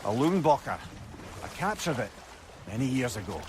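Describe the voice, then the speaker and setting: Game role: male actor as wry older Scottish sage, animated intonation, learned and wordy, over noisy watery ambience. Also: mid-range voice, normal speed, natural voice. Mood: remorseful, confessional. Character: talkative, learned, remorseful older male advisor. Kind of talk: cinematic video game acting. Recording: clear background ambient noise.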